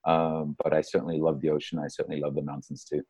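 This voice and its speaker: Middle-aged male, South African accent, very deep and soft-edged tone, casual reflective interview guest. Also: completely flat delivery, quick pace, breathy edge. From Durban, South Africa. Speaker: interview guest, soccer coach.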